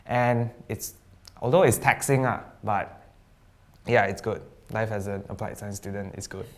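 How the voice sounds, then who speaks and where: A young man from Sabah, a student guest chatting casually on a live stream, relaxed and jokey with slang and hesitant fillers. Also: fairly low pitch, a little slow. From Sabah, East Malaysia. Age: young adult.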